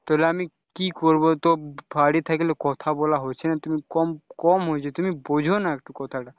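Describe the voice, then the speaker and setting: A man's voice: a little high, steady tone, brisk, no echo. A young man talking casually on a private phone call, often checking with short questioning tags. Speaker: caller talking to a romantic partner. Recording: dull, lo-fi phone call recording.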